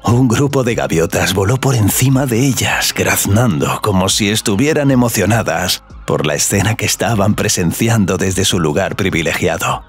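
A man's voice: fairly low pitch, animated, normal speed, few pauses, husky, soft background music. A man narrating a scripted audio story.

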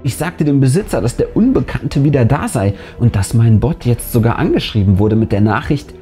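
Animated man narrating a scary story, mellow voice. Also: medium pitch, brisk. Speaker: narrator and channel host. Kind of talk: scripted narration of a first-person horror story, read aloud. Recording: music bed behind the voice. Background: background music.

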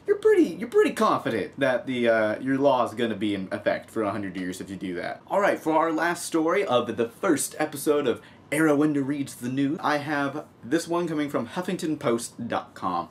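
A young American man from Texas giving casual, sarcastic commentary as he reads out news as a video host. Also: mid-range voice. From Texas, United States. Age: young adult. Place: small room at a home desk, indoors.

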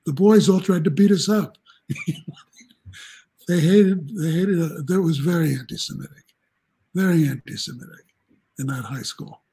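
Husky-voiced man in his seventies. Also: fairly high pitch, normal speed, pauses often, warm tone. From New Jersey, United States. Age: 70s. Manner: rambling, anecdotal, chuckling, with frequent restarts. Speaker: guest, journalism professor. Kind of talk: casual interview storytelling. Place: home room, indoors. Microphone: video call.

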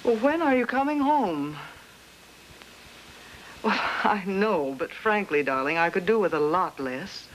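A middle-aged woman an actress plays a polite, anxious suburban mother in a 1950s film. Her speech is genteel, with clear noise on the old soundtrack. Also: medium pitch, animated, unhurried, pauses often, close to an everyday voice, low-quality recording. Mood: worried, reproachful.

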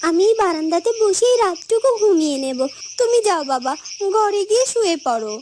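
A woman voicing a gentle, earnest young village maiden in a fairy-tale cartoon, speaking politely and deferentially. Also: very high-pitched, animated, brisk, slight background noise. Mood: concerned, caring. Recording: music bed behind the voice.

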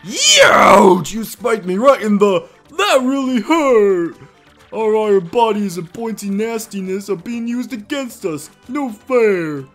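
A man voicing a dim, cocky armored male brute in a game fan dub, talking slowly. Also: high voice, very animated, fairly bright tone, soft background music, pitched above the performer's own voice, heavily acted. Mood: whining.

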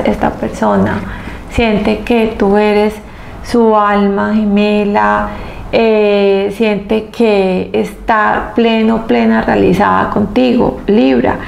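Very creaky-voiced woman reading tarot. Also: mid-range voice, a little mellow. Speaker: tarot reader and host. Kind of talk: spiritual tarot reading to camera. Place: small indoor room, seated at table. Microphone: clip-on lapel mic. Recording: roomy echo with faint background noise.

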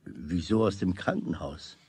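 An actor playing a kindly, easily astonished older shopkeeper in a children's radio play. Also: male voice, low-pitched, a little slow, a little mellow, breathy edge.

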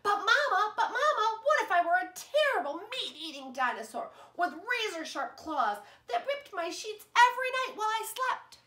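An eager little child, as a woman reading a storybook puts the voice on, pressing one question after another. The voice sits high and bright and leaps around with excitement. It rings out in a roomy, echoing space. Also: normal speed, few pauses, exaggerated voice. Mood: eager pleading. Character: small child, eager and pleading. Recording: roomy echo.

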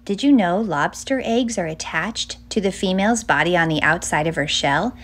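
A middle-aged woman reads a children's book aloud as a storytime host, with a clear, measured storytelling delivery. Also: fairly low pitch, expressive. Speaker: children's author and librarian hosting a storytime.

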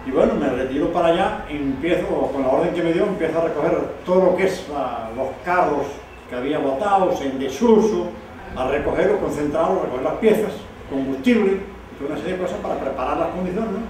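A man's voice: a little high, a little fast, a little mellow. Older cuban guy, ex rebel soldier, stresses things twice, echoey room, hiss behind. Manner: emphatic, repeating for stress. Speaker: former rebel soldier interviewed. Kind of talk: recollecting in an interview. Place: living room, indoors. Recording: very echoey room, far mic, some hiss.

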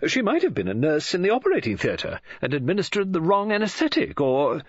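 A male narrator plays a fussy, imaginative middle-aged woman in an audiobook. The voice leaps high and swings wildly up and down as it gushes and exclaims. The recording is a little dull. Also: huge pitch swings, normal speed, slightly rough, no echo, heavily acted. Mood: excited, speculating. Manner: gushing, exclamatory, swooping emphasis.

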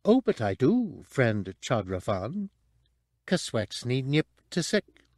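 A man's voice: mid-range voice, sing-song, slow pace, a little mellow, no room sound, clean studio sound. A man voicing a fussy, polite protocol droid with a melodious voice, prim and chatty.

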